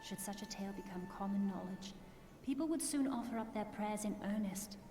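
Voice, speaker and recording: A woman's voice: mid-range voice, brisk, lightly acted. Woman acting a resolute young noble sister, echoey. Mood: grave, probing. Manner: formal, archaic diction. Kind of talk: fantasy video game voice acting. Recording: echoey game audio under loud music.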